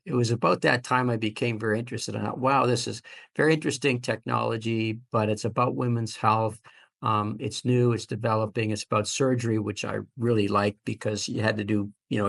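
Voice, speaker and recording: Gravelly-voiced man in his seventies. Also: fairly low pitch, a bit bright. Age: 70s. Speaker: fertility doctor, interview guest. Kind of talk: reflective conversational interview.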